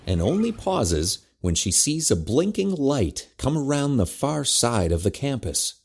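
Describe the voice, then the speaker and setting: A man's voice: medium pitch, big pitch swings, unhurried, darkish tone, husky. A man reading scripted narration for an audio drama as its narrator. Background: music.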